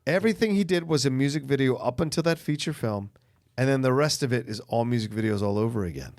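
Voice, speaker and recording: A man co-hosting a casual chat about films, agreeable and quick to chime in. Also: mid-range voice, darkish tone. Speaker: podcast co-host.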